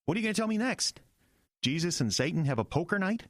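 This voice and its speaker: An actor playing an earnest small-town pastor in an audio drama, halting, agitated and incredulous. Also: male voice, high voice, very animated, no echo, clean studio sound.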